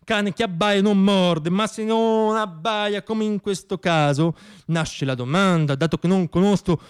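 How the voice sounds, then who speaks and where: A man with a distinctly high voice delivers a theatrical monologue for radio. He recites like a stage storyteller, in rhythmic, poetic phrases, declaiming with deliberate dramatic pauses. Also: clean tone. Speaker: solo stage actor and storyteller.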